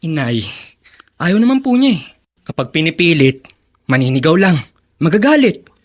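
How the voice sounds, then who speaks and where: An actor playing a fed-up but polite young son in a radio drama, with a Filipino accent. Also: male voice, on the high side, big pitch swings, moderate pace. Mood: sulky, resigned. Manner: polite, sulky.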